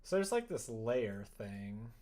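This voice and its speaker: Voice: mid-range voice, very animated, slow, mellow. A livestream host thinking aloud casually while working at a computer, muttering and trailing off mid-thought. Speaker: livestream host coding a visual novel. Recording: noisy room, keyboard typing and clicks. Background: keyboard typing, mouse clicks.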